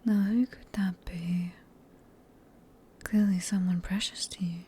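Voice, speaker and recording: A woman with a British accent playing a sadistic, possessive vampire in a whispered ASMR roleplay, speaking in a breathy, teasing, genteel and condescending manner. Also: mid-range voice, steady tone, slow pace, warm tone. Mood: mocking curiosity. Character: sadistic, possessive female vampire, silkily polite and manipulative.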